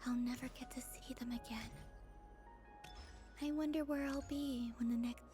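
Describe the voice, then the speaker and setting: Game role: wistful young moon maiden, female actor. Soft, whispery, slightly high, measured delivery. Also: steady tone, close to an everyday voice. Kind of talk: scripted dramatic game dialogue. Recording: music behind the voice.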